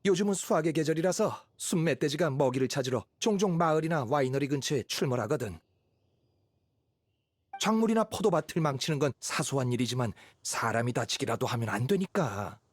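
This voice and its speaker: An actor playing a kindly, fatherly middle-aged hunter in an anime game, speaking in a measured, reassuring way. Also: fairly high pitch. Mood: friendly, explaining.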